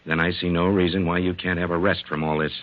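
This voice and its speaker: An actor playing a stern, menacing boss in a 1940s radio mystery, firm, commanding and insistent. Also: male voice, low-pitched, little pitch movement, quick pace, natural voice. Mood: curt, businesslike. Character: stern, menacing boss pressuring a reluctant subordinate. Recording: narrow-band scratchy 1940s radio recording.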